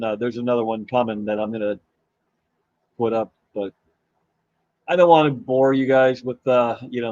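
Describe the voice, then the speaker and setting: A man hosting a live stream, chatting casually and greeting viewers in a rambling, halting way while his voice is going hoarse. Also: medium pitch, a little mellow, no room sound, lo-fi sound. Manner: rambling, halting, with many fillers and restarts. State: losing his voice. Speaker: livestream host.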